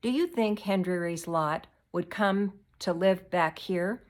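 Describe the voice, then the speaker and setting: A woman's voice: fairly low pitch, lively intonation, unhurried. A woman reading a storybook and voicing a stern, weary father, solemn and reproachful, with rustic phrasing. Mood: angry. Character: stern, weary father, grim and plain-spoken.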